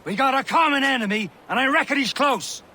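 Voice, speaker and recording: A man voicing a brisk, good-natured seafaring fighter with a folksy, commanding manner in a video game. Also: extremely high pitch, sharp tone, slight background noise, heavily acted. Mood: urgent, firm. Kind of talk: video game cutscene acting.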